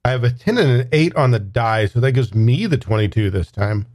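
A man's voice: medium pitch, lively intonation, a little fast, darkish tone, no room sound, studio quality. A man playing a tabletop game, casually and thoughtfully explaining what his character does. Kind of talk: casual in-game description and chat.

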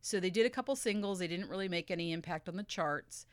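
A woman's voice: fairly low pitch, fast talker, dry recording. A young woman co-hosting a casual podcast, telling a music history story in a dry, matter-of-fact way. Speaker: podcast co-host. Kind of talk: casual chat, reading history notes.

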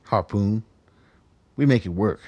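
An actor playing a tough, blunt, unsentimental guide through frozen wilderness, laconic, in a radio drama. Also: male voice, on the low side, measured pace, dark tone, dry recording, highs cut off. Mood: gruff, wry.

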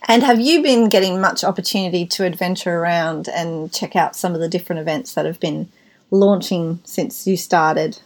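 A woman's voice: lowish voice, moderate pace. A woman hosting an interview podcast, asking questions in a playful, teasing way. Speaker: podcast host.

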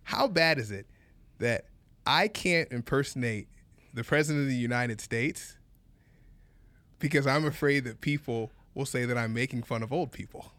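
Animated man, dry close sound. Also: mid-range voice, moderate pace, no room sound. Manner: animated, repeats himself, laughs often. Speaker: podcast co-host. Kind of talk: casual chat.

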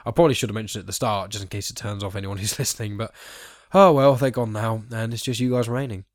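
A young man with a stuffed-up nose from hay fever hosts a chat podcast, talking quickly with plenty of ums and asides. His voice sits somewhat low, and the recording is close and dry, with no room sound. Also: steady tone, no room sound. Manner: rambling, many ums and sort-ofs. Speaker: podcast host.

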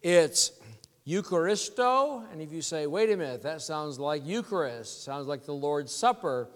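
A congested man preaches a sermon, his voice slightly high for a man and unhurried. He explains scripture patiently, word by word, and the church hall around him rings with a long reverb. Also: lively intonation. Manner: measured teaching, explains words slowly. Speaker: pastor. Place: church sanctuary.

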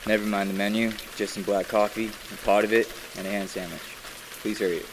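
A man playing a brisk, insistent doctor in an old-time radio play, courteous on the surface with a quiet menace. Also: lowish voice, little pitch movement, background noise, close to an everyday voice. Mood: impatient. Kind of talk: 1940s radio play acting. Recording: reverberant hall sound.

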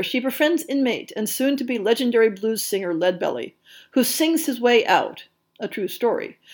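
A woman hosting a podcast, reading scripted narration in a measured, articulate and expressive way. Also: fairly high pitch. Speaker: podcast host. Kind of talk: scripted narration, reading book listings and blurbs.

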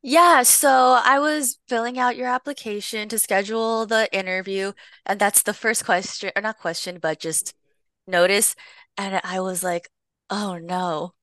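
A young woman from Long Beach, California, a podcast guest telling her story in a relaxed, playful way. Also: mid-range voice, a little slow, no echo. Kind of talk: casual conversation.

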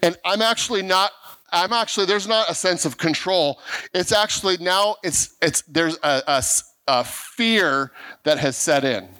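A man's voice: high voice, measured pace, sharp tone, clean tone. A middle-aged male pastor preaching earnestly, often restarting and repeating words as he drives his points home. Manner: acts out quoted voices of people he describes. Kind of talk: sermon.

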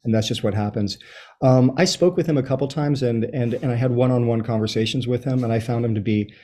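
A man in his fifties with an American accent, answering interview questions in a thoughtful, halting, storytelling way. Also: mid-range voice, mellow. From Los Angeles, USA, born in Chile. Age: 50s. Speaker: interview guest, soccer writer and supporter. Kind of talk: reflective conversational interview answers.

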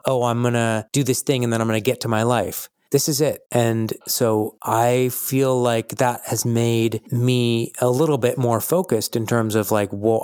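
A man in his forties, an acting teacher co-hosting a podcast, talking in a chatty, animated way. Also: medium pitch, no echo, clean studio sound. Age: 40s. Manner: chatty, lively, animated storytelling. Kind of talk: casual podcast chat.

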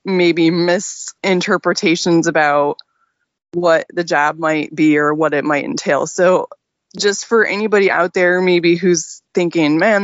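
Woman interviewer, lower voice, casual and hedging; dull dry recording. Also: dry recording. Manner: easygoing, hedging questions with many fillers. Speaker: podcast host. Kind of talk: interview questions.

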